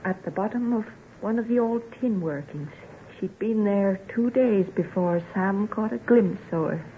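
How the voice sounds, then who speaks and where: A woman's voice: mid-range voice, a little slow, close to an everyday voice. An actress playing a plain-spoken, sorrowful woman in an old-time radio drama. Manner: earnest rustic dialect storytelling. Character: plain-spoken sorrowful country woman, devoted to her family. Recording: tinny, noisy old radio recording.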